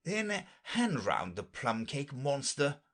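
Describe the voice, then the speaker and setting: A man's voice: mid-range voice, sing-song, takes it slowly, a bit bright, voice pushed up, heavily acted. A man voicing a tired, grumpy great lion from a storybook, with a weary, growling drawl. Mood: commanding. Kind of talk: storybook character reading.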